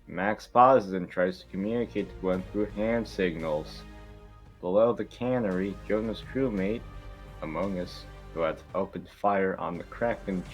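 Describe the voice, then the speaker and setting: A man reading stage directions at an amateur table read in a casual, irreverent, joking way with swearing ad-libs. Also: sits low. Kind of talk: casual, joking script narration.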